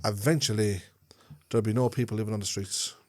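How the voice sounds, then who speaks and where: A man's voice: lowish voice, fairly even intonation, fairly quick, darkish tone. An Irish man with a Dublin accent, co-hosting a podcast in casual chat, telling stories and often checking in with his listener. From Ireland. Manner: rambling storyteller, checks in with "yeah?" and "right?". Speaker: podcast co-host.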